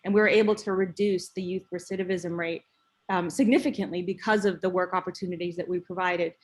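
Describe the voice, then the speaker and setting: A woman's voice: fairly low pitch, steady tone, fast. A woman speaking steadily and heartfelt as she introduces herself on a webinar panel over video call. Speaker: panelist, nonprofit co-founder.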